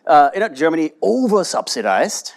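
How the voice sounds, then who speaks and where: A man moderating a panel discussion at a lecture hall, talking in a lively, animated way and often checking in with "right?". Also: on the high side, normal speed, gravelly. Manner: animated, asks rhetorical questions, often adds "right?".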